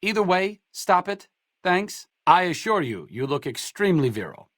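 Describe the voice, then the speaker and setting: A man's voice: high-pitched, big pitch swings, normal speed, fairly bright tone, dry recording, full character voice. A man playing a wry, bookish doctor companion in a post-apocalyptic video game, with dry, sardonic and articulate delivery. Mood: annoyed, sarcastic.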